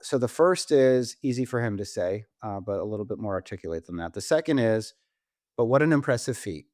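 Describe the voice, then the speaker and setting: A man's voice: on the low side, lively intonation, fairly quick, a little mellow, no room sound, studio quality. A man hosting a conversational business podcast, speaking emphatically and repeating phrases for effect. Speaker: podcast host.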